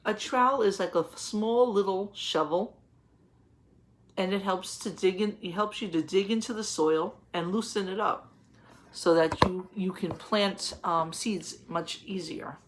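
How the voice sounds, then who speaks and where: A woman in her thirties, a teacher, reading a picture book aloud to young children and explaining words simply, with gentle questions. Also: medium pitch, measured pace, slight background noise. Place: small home office, indoors.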